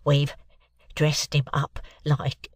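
A man voicing a slow-witted, nervous rough fellow in an audiobook, congested as if with a cold, hesitant. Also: on the high side, dry recording, studio quality. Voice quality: congested, thick with a head cold.

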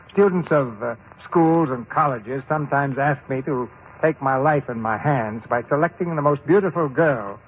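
An American man introduces and narrates a 1940s radio play. He speaks in a formal, measured showman's style at a medium pitch. The sound is narrow and muffled, with faint background noise. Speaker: radio theater host and narrator. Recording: muffled, noisy old radio recording.

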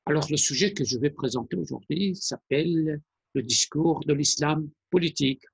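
Male presenter, measured and hesitant, husky low-energy delivery with a dry sound. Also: dry recording, rough recording. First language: Persian. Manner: deliberate, halting phrasing with pauses. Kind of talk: explanatory monologue.

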